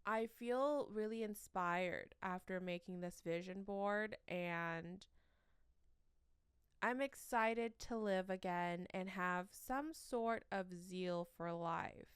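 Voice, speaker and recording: Young woman, shrill voice, unhurried rambling chat, self-mocking, dry close bedroom sound. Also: clean tone, dry recording. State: sleep-deprived. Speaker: podcast host. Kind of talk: casual solo podcast chat. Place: corner of her bedroom.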